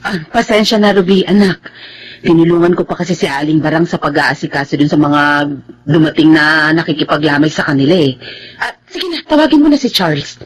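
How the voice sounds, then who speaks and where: A woman playing a poor, caring, apologetic mother with a husky voice in a radio drama. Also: low voice, brisk. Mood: gentle, apologetic. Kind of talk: Tagalog radio drama acting.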